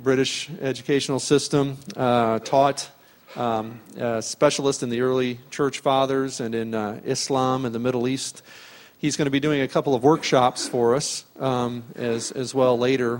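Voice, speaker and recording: Halting man introducing a guest. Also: medium pitch. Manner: halting, many fillers. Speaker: host introducing a speaker. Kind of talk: introduction at a church gathering.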